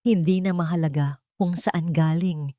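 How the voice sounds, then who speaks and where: A woman voicing a calm, firm male millionaire bent on revenge, speaking with deliberate weight in an audio story. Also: fairly low pitch, dry recording, clean studio sound, natural voice. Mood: calm resolve. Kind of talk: dramatic audio story dialogue.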